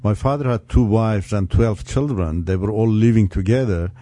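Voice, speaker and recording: Middle-aged Iranian man, English, low warm voice, halting earnest testimony. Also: dry recording. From Iran. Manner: earnest, halting, with many fillers and restarts. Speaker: radio guest. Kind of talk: personal testimony on radio. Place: radio studio.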